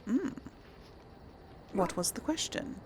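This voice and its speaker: A woman's voice: fairly high pitch, very animated, takes it slowly, slight background noise. A woman hosting a casual game playthrough, chatting and thinking aloud hesitantly as she role-plays dialogue. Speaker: gaming host and role-player.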